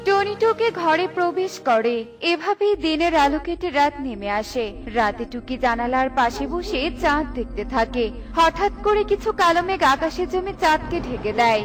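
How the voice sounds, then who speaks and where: A woman with a high voice narrates a scripted cartoon fairy tale. She sounds slightly away from the microphone, with music clearly playing behind her. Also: animated, moderate pace. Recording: slightly distant with music bed.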